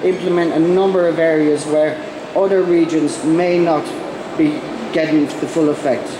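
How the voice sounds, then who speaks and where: Brief: Irish man with a cold presenting at a conference, slightly high voice, roomy hall with noise behind. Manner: halting, many ums and restarts. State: has a cold. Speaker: conference speaker. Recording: echoey hall with background noise.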